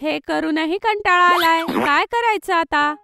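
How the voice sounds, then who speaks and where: Very high-pitched little cartoon girl. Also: unhurried, vocal fry, faint music behind, exaggerated voice. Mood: bored, eager. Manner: childlike, expressive. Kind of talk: children's cartoon voice acting.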